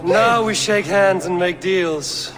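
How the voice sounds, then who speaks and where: An actor playing a calm, composed Viking warrior in a video game cutscene, speaking in a measured, dry way. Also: male voice, sits high, a crowd in the background.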